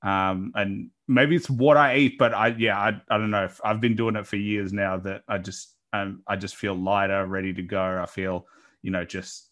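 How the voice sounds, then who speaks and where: A man in his late twenties hosts a casual podcast interview. His voice is deep and a little low, and he talks with upbeat energy and some self-deprecating humor. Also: fairly even intonation, moderate pace, fairly bright tone. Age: late 20s. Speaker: podcast host.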